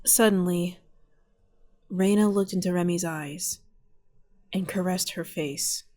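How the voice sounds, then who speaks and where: A woman narrating an audio drama as a measured, solemn storyteller. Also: lowish voice, fairly even intonation, warm tone.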